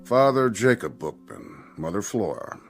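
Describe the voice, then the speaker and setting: A polite, coolly efficient male official in a radio drama. His creaky voice rises and falls freely as he questions in formal, clipped phrases. Faint music sits behind him. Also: lowish voice, big pitch swings, measured pace.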